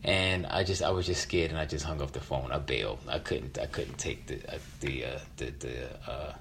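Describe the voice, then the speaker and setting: A man's voice: rumbling low, normal speed, gravelly, a bit bright, a little hiss or hum. A middle-aged man telling a personal story reflectively, drawing out phrases for dramatic emphasis. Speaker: featured storyteller.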